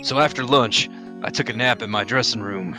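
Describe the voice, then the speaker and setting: A man's voice: mid-range voice, fairly quick, music in the background, lightly acted. A man doing a sheepish action-show star. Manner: soft, sheepish, plaintive. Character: gentle, mopey male action-show star.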